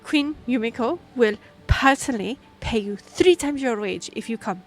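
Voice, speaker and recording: High-voiced, flustered half-elf liaison played by a woman. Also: animated, normal speed, soft background music. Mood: grateful, relieved. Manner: breathy sighs, stammering, pleading. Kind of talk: fantasy audio roleplay acting.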